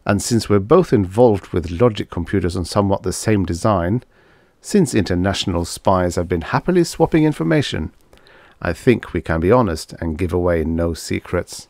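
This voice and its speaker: A man's voice: low-pitched, animated, moderate pace, darkish tone, dry recording, clean studio sound. A man playing a bitter, sardonic American scientist in a story, with a blunt, wry, emphatic manner. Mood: amused, courteous.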